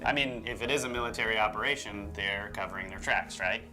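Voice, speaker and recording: A man's voice: fairly low pitch, steady tone, quick pace, bright, forceful tone, faint music behind, low-quality recording. A man co-hosting a show, explaining things in an informal, semi-scripted conversational style. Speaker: co-host.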